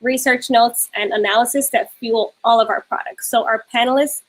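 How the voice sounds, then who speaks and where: Woman presenting corporate slides, higher voice. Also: fairly even intonation, normal speed, low-quality recording. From New York City. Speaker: panel host and moderator. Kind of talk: presenting slides, then moderating a video panel.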